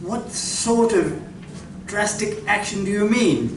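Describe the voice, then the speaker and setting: A man's voice: sits high, slow. A man playing an earnest, inquisitive scientist in a radio drama, with a careful, questioning and slightly hesitant delivery. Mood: cautious, questioning. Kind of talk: live radio drama acting. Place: library community room, live performance. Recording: echoey room from far off, noisy.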